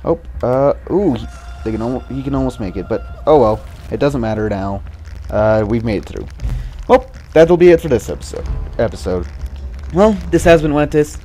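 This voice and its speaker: A man's voice: mid-range voice, animated, normal speed, dark tone, soft background music. A young man hosting a casual let's play, rambling and wryly complaining about the game, a bit stuffy with a slight cough. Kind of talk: casual gameplay commentary.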